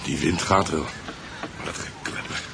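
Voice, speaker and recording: An actor playing a dry, sardonic, grumbling husband in a Dutch radio drama. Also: male voice, very deep voice, a little slow, gravelly, noisy background, full character voice. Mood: irritated.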